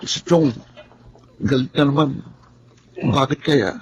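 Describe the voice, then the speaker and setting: A man's voice: medium pitch, slow, a little hiss or hum, natural voice. An actor playing a mistreated, weary poor boy in a Filipino radio drama, plaintive and earnest. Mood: hungry, weary. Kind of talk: Filipino radio drama acting and first-person narration. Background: music.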